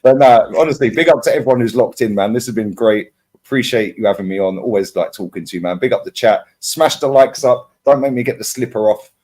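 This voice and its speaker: A man's voice: medium pitch, fairly quick, a little mellow. A young man talking football as a guest, tagging his sentences with "yeah?" and "bro". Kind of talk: casual football chat.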